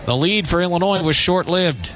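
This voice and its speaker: A man doing live radio baseball play-by-play in clipped phrases mixed with measured description. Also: high-pitched. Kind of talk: live radio sports commentary.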